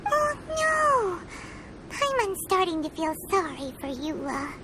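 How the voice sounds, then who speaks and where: A woman voicing a tiny, excitable, chatty fairy companion in a video game, with a squeaky childlike voice. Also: slow, background noise, heavily acted. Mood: chatty, musing. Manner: refers to self in third person, exclamatory. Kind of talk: English game voice acting. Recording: loud game sound behind voice.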